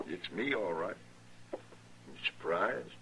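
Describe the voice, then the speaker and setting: An actor playing a menacing, possessive American freight boss in a western radio drama, with a rough, raspy voice and a slow drawl. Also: male voice, low-pitched, very animated, noisy background, heavily acted. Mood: accusing, sour. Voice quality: gravelly, raspy. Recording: old radio recording.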